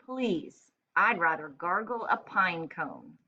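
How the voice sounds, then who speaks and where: A woman voicing a grumpy, sarcastic, indignant pet parrot in a children's storybook diary, with deadpan, exasperated complaining. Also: lowish voice, takes it slowly, dull audio. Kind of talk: children's storybook read-aloud in diary form.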